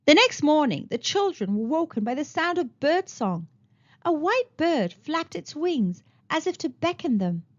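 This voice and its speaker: A woman reads a children's tale in a high voice with very animated intonation. Her delivery is expressive storytelling, and the recording sounds a little dull. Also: a little slow. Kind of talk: expressive read-aloud storytelling.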